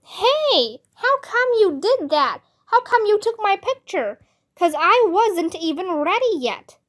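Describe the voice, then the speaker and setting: A woman's voice: very high voice, big pitch swings, slow pace, a bit bright, pitched above the performer's own voice, exaggerated voice. A woman voicing a feisty, chatty, literal-minded little girl who over-explains in a storybook. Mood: indignant. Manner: indignant, over-explaining, childish.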